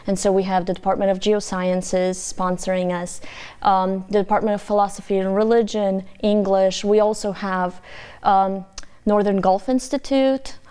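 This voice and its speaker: A woman from Poland, Polish her first language, talks as a university guest in a measured, explanatory way. Her voice is smooth and resonant, sitting at a middle pitch for a woman. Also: level tone, dark tone. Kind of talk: interview answers.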